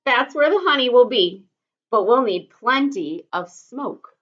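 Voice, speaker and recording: A woman voicing a gentle, thoughtful old grandfather in a storybook, in slightly dull audio. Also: a little high, lively intonation, a little slow. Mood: hushed.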